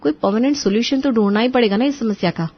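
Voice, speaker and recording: A woman's voice: fairly high pitch, quick pace, highs cut off, lightly acted. A woman playing a clever, burdened young daughter-in-law who speaks expressively in a cartoon moral story. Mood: plaintive.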